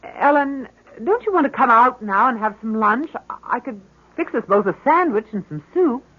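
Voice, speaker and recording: A woman's voice: a little high, expressive, moderate pace. An actress playing an anxious, doting middle-aged mother in an old radio drama, hesitant and fretful as she coaxes. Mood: anxious coaxing. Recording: dull, hissy vintage radio recording.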